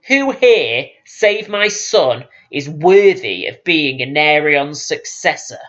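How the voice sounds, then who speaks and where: A man's voice: on the high side, very animated, slow, a bit bright, highs cut off, full character voice. A man voicing a proud, contemptuous and menacing elf queen in a fantasy audiobook. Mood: scornful. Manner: imperious, contemptuous.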